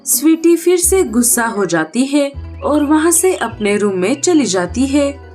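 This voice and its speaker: A woman's voice: high voice, big pitch swings, unhurried, mellow, soft background music. A woman narrating a scripted story for children.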